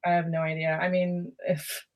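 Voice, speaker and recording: A woman from Baltimore with a Baltimore accent, chatting as a podcast guest and telling lively stories. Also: lowish voice, moderate pace. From Baltimore, USA. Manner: lively storyteller who acts out quoted voices. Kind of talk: casual podcast chat.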